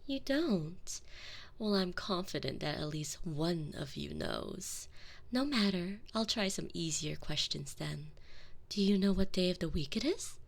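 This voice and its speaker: A woman voicing a cold, elegant female vampire mistress who speaks slowly and measuredly, often dropping into a whisper, recorded on a binaural microphone. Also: lowish voice, expressive, gravelly, full character voice. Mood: coldly menacing. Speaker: audio roleplay performer. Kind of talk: binaural audio roleplay.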